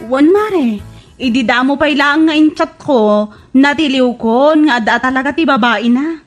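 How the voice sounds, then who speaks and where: A woman's voice: high-pitched, unhurried, faint music behind. A woman with an Ilocano Filipino accent playing a fed-up, scornful young girlfriend who scolds emphatically in a radio comedy drama. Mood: indignant, resolved.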